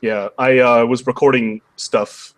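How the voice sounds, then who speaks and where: A man with a slightly hoarse voice chatting casually as a livestream guest, halting often as he thinks aloud. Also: medium pitch, steady tone. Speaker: interview guest, cube shop seller and video maker.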